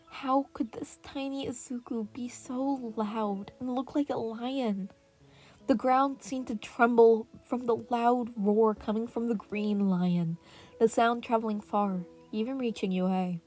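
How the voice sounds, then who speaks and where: Casting: female story narrator, higher-pitched voice, reading fan fiction over gentle piano music. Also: steady tone, measured pace. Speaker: podfic narrator. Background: relaxing piano and birdsong music.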